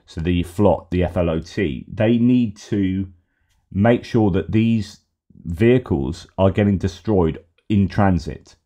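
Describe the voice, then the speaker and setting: A middle-aged man talks through the news in a loose, rambling way, full of fillers and restarts. His voice sits low and mellow, slightly worn as if he's losing it. He is recorded at home on a headset mic. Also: slow. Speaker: host. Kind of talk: casual commentary on news posts.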